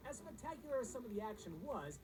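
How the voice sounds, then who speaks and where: A woman's voice: on the high side, very animated, quick pace, crowd noise. A woman reading scripted comedic countdown narration in a sarcastic, snarky manner.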